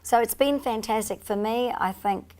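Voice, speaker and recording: A woman's voice: fairly high pitch, normal speed, a little mellow. A woman, a guest on a chat show, talking confidently and chattily and often laughing at her own remarks. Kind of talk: casual chat interview.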